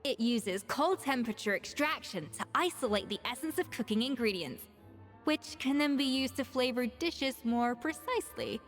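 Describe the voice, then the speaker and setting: A woman voicing a proud, exacting young female master chef in a video game, crisp and self-assured. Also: on the high side, animated, normal speed, lightly acted. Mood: brisk, instructive. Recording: game music behind voice.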